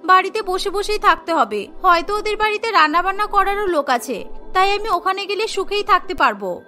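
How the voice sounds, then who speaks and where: A woman's voice: extremely high pitch, animated, brisk, sharp tone, faint music behind, full character voice. A woman voicing a lazy, scheming young bride who frets to herself in a Bengali cartoon. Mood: smug. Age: young adult. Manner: fretful, musing to herself. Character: lazy, scheming young village bride, anxious about cooking.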